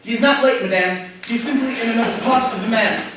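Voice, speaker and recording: A man's voice: extremely high pitch, fairly quick. A man playing a stiff, haughty manor butler in a staged comedy reading, formal, prim and dryly disapproving. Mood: formal, firm. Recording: very echoey, distant mic, slight noise.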